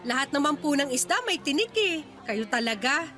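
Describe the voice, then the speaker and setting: A woman playing a feisty young market fish vendor in a Tagalog radio drama, persuasive and quick to talk back. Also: high-pitched, lively intonation, sharp tone, soft background music. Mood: coaxing.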